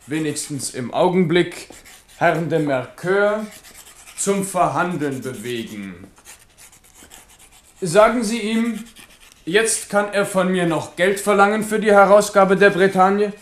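An actor playing a confident, shrewd French king in a 1970s radio drama, speaking lively and commanding. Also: high-pitched, echoey room.

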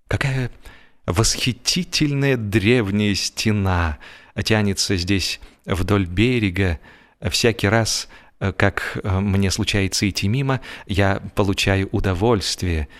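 A man performing a genial, wry gentleman who tells a humorous story in a measured, theatrical, expressive way. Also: fairly low pitch, lightly acted. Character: genial, wry, musing gentleman narrator of a comic tale. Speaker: audiobook narrator and actor. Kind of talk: dramatized first-person humorous story narration. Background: music.

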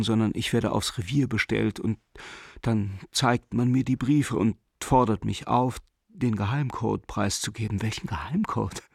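An actor in a radio drama playing a frightened, desperate German man who pleads with an old friend by letter, halting and imploring, at times dropping to a whisper. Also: fairly low pitch, gravelly, slightly rough, no room sound, clean studio sound, heavily acted.

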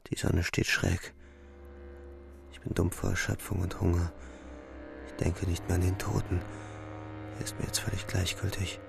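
A worn-out, brooding soldier thinks aloud in a radio play monologue. The voice is fairly low and gravelly with creak, set over clear music. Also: male voice, completely flat delivery, moderate pace, pauses often. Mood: exhausted, brooding. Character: exhausted, brooding German soldier voicing inner thoughts.

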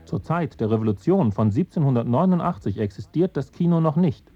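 An actor in a German radio play, playing an opinionated, disillusioned revolutionary film intellectual who muses in halting phrases. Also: male voice, mid-range voice, expressive, dull audio, music in the background, natural voice. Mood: didactic. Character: male French cinephile director, opinionated, disillusioned revolutionary intellectual.

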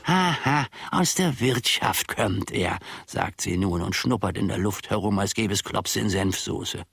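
Gravelly, grumbling old manservant. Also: male voice, lowish voice, a bit bright, no echo, exaggerated voice. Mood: sardonic. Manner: musing, deliberate, theatrical, often dropping to a murmur. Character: grumbling old servant of a philosopher, self-important and musing. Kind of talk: German radio play monologue.

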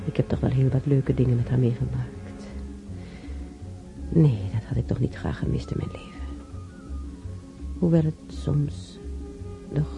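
An actress playing an elderly bedridden widow in a radio drama, musing haltingly and wistfully over her memories. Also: rumbling low, huge pitch swings, creaky, music in the background, heavily acted, poor audio. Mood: musing, nostalgic. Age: older. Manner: halting, musing, with sighs and whispers.

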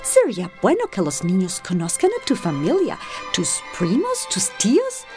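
A woman playing a gentle, tactful, well-off wife in a radio drama, coaxing carefully. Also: medium pitch, huge pitch swings, measured pace, over music.

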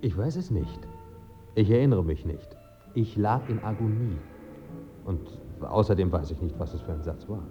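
Radio play role for a man: pleasure-loving dead writer, bantering and evasive, low voice, slightly muffled sound over music. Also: lively intonation, moderate pace, natural voice. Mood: subdued, evasive. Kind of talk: German radio play dialogue.

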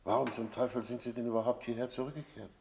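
An actor playing a cynical, brooding innkeeper in a German radio play, reflective and skeptical. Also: male voice, mid-range voice, steady tone, fast talker, gravelly, slight background noise, lightly acted. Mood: skeptical, challenging. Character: cynical male innkeeper, brooding first-person narrator.

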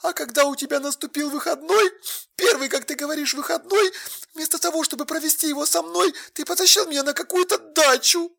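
High, bright, tearful accusing girlfriend. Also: a little fast, creaky. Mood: bitter, tearful. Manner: tearful, reproachful, emphatic. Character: hurt, accusing young woman, the narrator's girlfriend.

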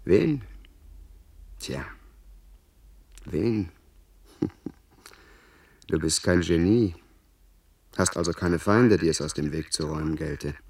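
A man's voice: deep voice, slow, pauses often, darkish tone, breathy edge, distant mic, full character voice. A man playing an insistent tempter urging duty in a German radio play, persuasive and theatrical, sounding set back from the microphone. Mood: persuasive, approving. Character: smooth-talking, insistent inner tempter urging a young lord to duty.

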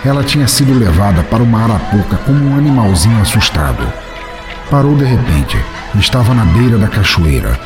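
A Brazilian man with a fairly low voice delivers scripted, dramatic narration. His intonation is very animated and his wording literary, with faint music behind him. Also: fairly quick. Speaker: podcast host and narrator.

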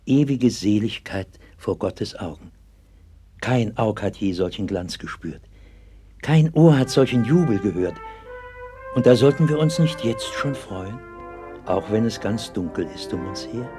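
An actor playing a gentle, devout sixteenth-century pastor in an old German radio play, speaking solemnly and patiently. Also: male voice, on the low side, expressive, measured pace, music in the background. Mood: fervent, exalted. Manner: solemn, patient, earnest preaching cadence. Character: gentle, devout 16th-century pastor comforting a sick child.

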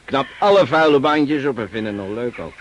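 A gruff, commanding cavalry officer, acted by a man in a radio play, brisk and easily amused. His pitch moves a great deal as he fires out orders and questions. There is some noise on the old recording. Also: animated, close to an everyday voice, low-quality recording. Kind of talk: Dutch radio drama acting.

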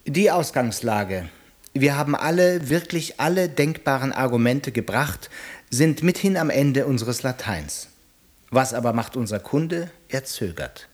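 An actor playing an officious, pedantic sales trainer in a radio play, instructing in a pompous, theatrical manner. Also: male voice, mid-range voice, lively intonation, a little slow, lightly acted. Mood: theatrical, challenging. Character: officious, pedantic male sales trainer drilling his pupils.

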